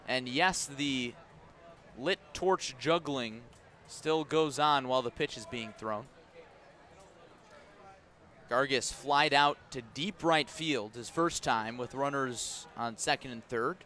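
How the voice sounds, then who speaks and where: A man calling baseball play-by-play live on the radio, measured in delivery and punchy on the action. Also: fairly high pitch, sharp tone. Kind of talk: live radio sports commentary. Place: outdoor ballpark.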